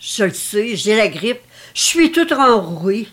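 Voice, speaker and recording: A woman's voice: medium pitch, very animated, slow. An actress playing an elderly, possessive mother, theatrical and self-pitying, in a dramatic script reading. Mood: tender, plaintive. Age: older. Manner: theatrical, reproachful, martyr-like.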